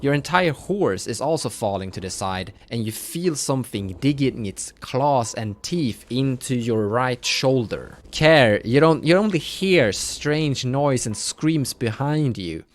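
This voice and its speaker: A man improvising narration as a game master, dramatic but often halting and correcting himself. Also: medium pitch, expressive.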